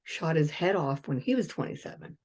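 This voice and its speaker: A woman's voice: low voice, expressive, a little fast, a little mellow. A woman in her fifties hosting a casual chat, blunt and chatty, swearing freely and reeling off lists. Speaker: host.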